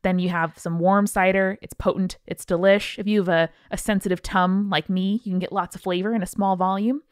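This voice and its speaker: A woman's voice: medium pitch, fairly even intonation, fairly quick, smooth, no room sound, studio quality. A woman co-hosting a podcast in a casual, chatty style with announcements for listeners. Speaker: podcast co-host. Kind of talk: casual scripted-feeling podcast chat.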